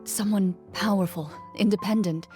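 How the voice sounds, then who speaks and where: A woman voicing a composed, dutiful young champion duelist in a video game, measured, earnest and formal. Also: mid-range voice, steady tone, mellow, natural voice. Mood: solemn. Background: background game music.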